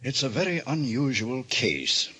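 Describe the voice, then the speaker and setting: An actor playing a cautious doctor in an old-time radio drama, speaking in a deliberate, professional manner. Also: male voice, mid-range voice, big pitch swings, pushed and bright, breathy edge, full character voice. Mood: measured, advising. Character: cautious, measured male doctor.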